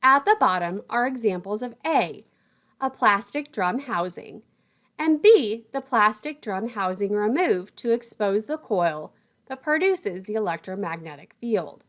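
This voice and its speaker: A woman's voice: mid-range voice, animated, measured pace, fairly bright tone. A woman lecturer reading scripted instructional narration in a formal, precise manner.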